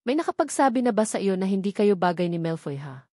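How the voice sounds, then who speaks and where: A woman's voice: mid-range voice, sing-song, quick pace, darkish tone, dry recording, clean studio sound. A woman voicing a haughty young female rival, affected and scornful, in a romance drama narration. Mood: mocking.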